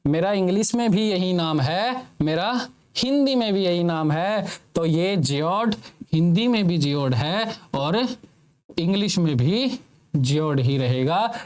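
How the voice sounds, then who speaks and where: A man's voice: fairly high pitch, lively intonation, takes it slowly. A man teaching a lesson to students in speech, repeating his points and asking them questions that he answers himself. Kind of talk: classroom lecture for students.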